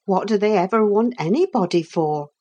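A woman's voice: mid-range voice, lively intonation, moderate pace, dark tone, husky, voice pushed up. Woman voicing a blunt grumbling old cook. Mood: scolding. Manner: working-class, heavy and blunt. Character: grumbling older female household cook.

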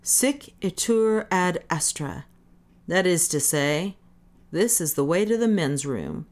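A gruff, defiant drunkard, played by a woman reading an audiobook. He talks in brash slang with sardonic bravado, in a fairly low register. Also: big pitch swings, slow pace. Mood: drunken bravado. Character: gruff, drunken, defiant man taunting his doom. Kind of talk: dramatic audiobook character reading.

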